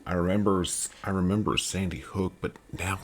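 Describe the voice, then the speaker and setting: A man playing a shaken, halting local news anchor in an acted breaking news report, with a gravelly voice. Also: deep voice, steady tone. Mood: stunned, grieving. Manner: halting, stammering.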